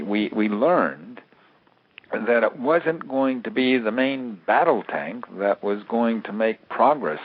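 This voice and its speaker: A man's voice: mid-range voice, dry recording. American man on a thin phone line. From United States. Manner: halting, repeats words as he builds points. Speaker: interview guest, author and terrorism expert. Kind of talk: interview answers, analytical explanation. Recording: narrowband phone-like radio interview line.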